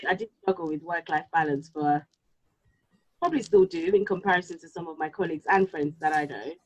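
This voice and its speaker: A woman whose home tongue is Yoruba, chatting casually and reflectively, halting now and then as she thinks aloud. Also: deep voice, expressive. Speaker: podcast co-host. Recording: slightly dull, lo-fi sound.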